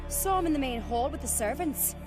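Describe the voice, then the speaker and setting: Confident young warrior-clan lady in a fantasy game, highish voice, straight to the point, music clearly behind her. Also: expressive, fairly quick. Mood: intent. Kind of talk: fantasy video game dialogue.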